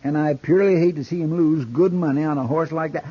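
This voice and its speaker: Actor as high-voiced, drawling folksy western sidekick. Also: male voice, steady tone, fast talker, lightly acted. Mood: earnest, folksy. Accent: American rural drawl. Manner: folksy, respectful. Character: deferential, simple, talkative frontier deputy, earnest sidekick. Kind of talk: 1950s radio western acting. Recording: dull, noisy 1950s radio recording.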